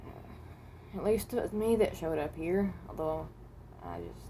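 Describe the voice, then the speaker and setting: A woman voicing a reluctant, resigned animatronic rabbit from another dimension in a fan roleplay, speaking haltingly and correcting herself. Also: sits low, wildly swooping pitch, dark tone, slight background noise, heavily acted. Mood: uncertain, willing. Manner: hesitant, halting, self-correcting.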